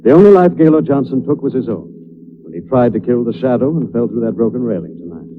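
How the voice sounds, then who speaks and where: An actor playing a confident detective who explains things with assurance in 1940s radio drama style. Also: male voice, medium pitch, expressive, quick pace, natural voice. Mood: assured, explaining. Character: confident male detective explaining a solved case. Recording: noisy, tinny old radio recording.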